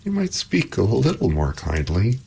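A man's voice: fairly low pitch, huge pitch swings, normal speed, gravelly, dark tone, a little hiss or hum, voice pushed up, exaggerated voice. A man voicing an impulsive, earnest young girl in a storybook, speaking emotionally. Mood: hesitant, pleading.

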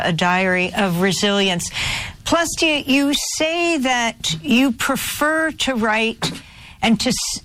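Slow, deliberate woman news interviewer. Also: a little high, a bit bright, rough recording. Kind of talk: broadcast interview questions.

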